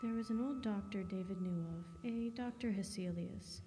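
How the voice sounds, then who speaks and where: A woman's voice: medium pitch, moderate pace, lightly acted. A woman narrating a radio drama from a script. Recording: very noisy, reverberant hall recording.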